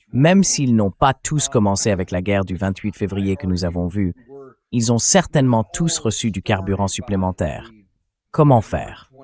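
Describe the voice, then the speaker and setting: A man's voice: on the low side, a little mellow. A man hosting a political interview in a dubbed translation, building up long, discursive questions. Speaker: interview host.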